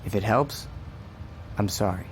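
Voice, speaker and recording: Calm, lowish-voiced man acting as Death. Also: soft background music, natural voice. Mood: gentle, regretful. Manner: measured, quietly insistent. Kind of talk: radio drama acting.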